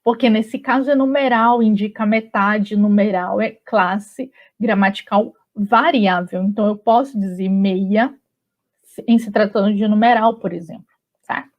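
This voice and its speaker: A woman with a Brazilian Portuguese accent teaching a grammar lesson in a relaxed, chatty way, often checking in with her students. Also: mid-range voice, measured pace. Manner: relaxed and chatty, with frequent tag questions. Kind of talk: conversational online grammar lesson. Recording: slightly dull lo-fi audio.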